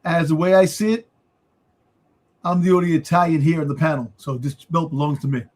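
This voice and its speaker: A man with a slightly high voice chats as a panelist on a sports fan show. He banters casually and repeats phrases to press his point. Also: steady tone, fairly quick, darkish tone.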